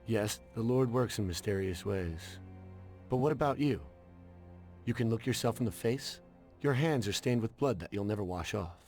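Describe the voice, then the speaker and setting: Solemn, low-voiced priest. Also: male voice, expressive, normal speed, darkish tone, faint music behind. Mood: anguished, reproachful. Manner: solemn, preaching. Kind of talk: dramatic video game voice acting.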